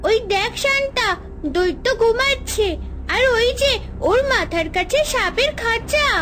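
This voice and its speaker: A woman voicing an excitable, impatient young girl adventurer in a cartoon fairy tale. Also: very high voice, a little slow, exaggerated voice. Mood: excited. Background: background music.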